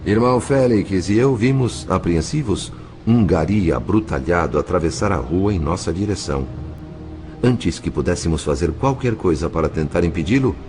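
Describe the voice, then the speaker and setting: A man with a Brazilian accent narrating an audio novel in a measured, solemn, literary way. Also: low-pitched, darkish tone. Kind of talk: dramatic scripted narration.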